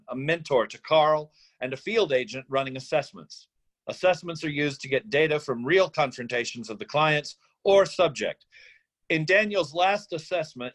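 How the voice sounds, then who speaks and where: A man's voice: fairly high pitch, a bit bright. A man reading out stage directions and scene recaps as scripted narration for a screenplay reading.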